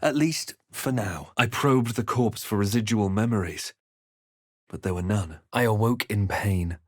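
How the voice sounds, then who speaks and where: An actor playing a brooding male adventurer in a fantasy game, narrating his quest in a measured, theatrical, grave manner. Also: fairly low pitch, no echo, studio quality. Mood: ominous, reflective. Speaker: player character in fantasy role-playing game. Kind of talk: dramatic scripted game voice lines.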